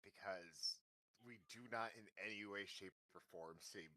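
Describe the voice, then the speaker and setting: A man's voice: sits low, moderate pace, bright, forceful tone, low-quality recording. A man playing a tabletop role-playing game, chatting casually and jokily with the group. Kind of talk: casual, jokey table chat.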